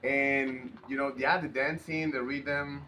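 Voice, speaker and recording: Mexican guy in an interview, spanish native feeling for english words, sharp bright voice, bit of background noise. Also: medium pitch, flat delivery, slow, poor audio. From Veracruz, Mexico. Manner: hesitant, searching for words. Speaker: interview guest. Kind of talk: casual interview answers in second-language English. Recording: faint background ambient noise.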